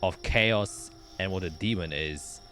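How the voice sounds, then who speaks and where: A man narrating a tabletop roleplaying game as game master, with descriptive, dramatic storytelling and deliberate pauses. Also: low-pitched, a bit bright, a little hiss or hum.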